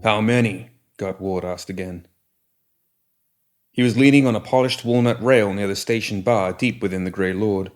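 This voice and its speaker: A man's voice: on the low side, normal speed, darkish tone. A man reading a scripted story as an audiobook narrator, in descriptive prose. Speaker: story reader.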